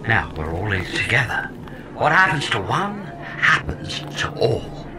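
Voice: mid-range voice, big pitch swings, a little slow, background noise. An actor voicing a sardonic, talkative companion who lives in someone's head, theatrical and mock-grand, in an audio comedy drama. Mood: gleefully grandiose.

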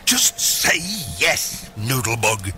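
Megalomaniac doting father played by a man, bright and wildly animated, wheedling, theatrical, comedy radio play. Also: mid-range voice, slow pace, faint music behind, full character voice. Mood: gloating. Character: gleeful megalomaniac villain, doting scheming father. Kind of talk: scripted audio comedy drama.

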